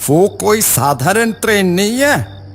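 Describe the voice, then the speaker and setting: A crazed, menacing man from an animated ghost tale, drawling extremely slowly. His voice swings widely and rings in long, cavernous reverb over music. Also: high-pitched, exaggerated voice. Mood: ominous warning. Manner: slow, drawn-out menacing delivery. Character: crazed eerie man giving ghostly warnings. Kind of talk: Hindi animated horror story dialogue.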